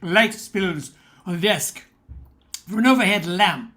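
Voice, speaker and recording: Radio tale host, male actor: theatrical, formal storyteller with a high, forceful voice. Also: a little slow. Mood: theatrical, inviting. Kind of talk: old-time radio narration.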